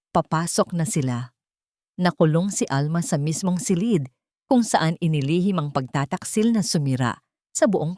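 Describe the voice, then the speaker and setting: Low-voiced woman narrating a dramatic story. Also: darkish tone, dry recording, clean studio sound.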